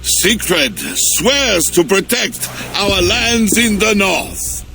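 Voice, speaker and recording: A man's voice: sits high, lively intonation, drawn-out words, gravelly, over music, full character voice. A pompous, fretful king. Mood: solemn. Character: proud fretful Frankish king, middle-aged man. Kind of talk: video game cutscene acting. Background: game score.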